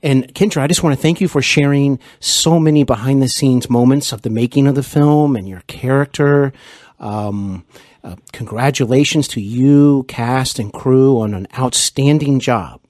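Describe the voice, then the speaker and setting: A man hosts an interview in a conversational style, with a medium-pitched voice. He is polite and complimentary, feeling his way through his phrases. The sound is very dry, with no audible room. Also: moderate pace, a little mellow, dry recording. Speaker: interview host.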